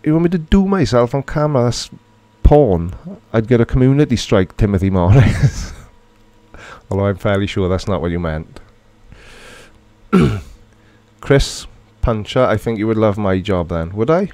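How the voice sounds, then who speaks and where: A man's voice: fairly low pitch, lively intonation, unhurried, dark tone, no room sound. A middle-aged man from Wales with a Welsh accent, casually chatting and reading viewer comments aloud on a livestream. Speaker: livestream host.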